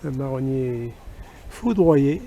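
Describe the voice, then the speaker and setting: A man's voice: mid-range voice, expressive, slow, warm tone, muffled recording. A man talking casually outdoors as an amateur radio operator, in vlog style and on radio calls. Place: outdoors in a wooded park. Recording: outdoor ambience, faint background noise.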